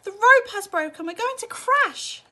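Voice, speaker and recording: A woman's voice: very high voice, lively intonation, moderate pace, sharp tone, voice pushed up, full character voice. A woman voicing a panicky, complaining mother in a storybook, crying out in alarm. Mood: panicked. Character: panicky, shrill, complaining mother on a wild ride.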